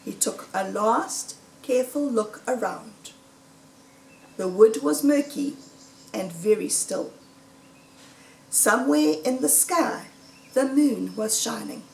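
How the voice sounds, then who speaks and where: Slow, high-pitched woman reading a children's story. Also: creaky, slight background noise. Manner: deliberate, dramatic storytelling with suspenseful emphasis. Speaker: storybook reader. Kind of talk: expressive read-aloud of a children's story. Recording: roomy echo, distant microphone.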